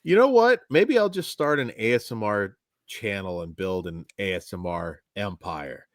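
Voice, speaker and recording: A man with a low voice, talking about films in a loose, joking way, his pitch rising and falling a lot. His voice sounds a little worn from a long day of recording. The sound is close and dry. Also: normal speed, no room sound. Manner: animated, self-deprecating, joking. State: voice going tired after long recording. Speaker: podcast co-host. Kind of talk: casual film review chat.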